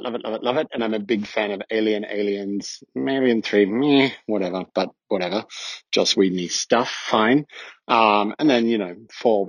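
An Australian man leaving a rambling, excited voice message for a podcast. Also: lowish voice, slightly rough, no room sound, rough recording. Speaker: listener sending an audio clip. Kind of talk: recorded voice message.

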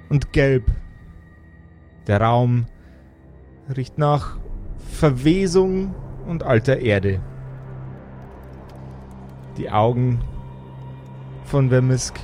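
A man narrating an improvised fantasy role-playing game as game master, with a deliberate, descriptive delivery. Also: medium pitch, a little mellow. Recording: music behind the voice. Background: music.

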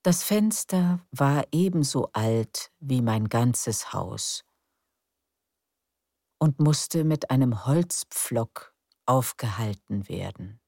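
A woman narrating a calm bedtime story in a slow, soft, soothing way. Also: rumbling low, no echo, studio quality.

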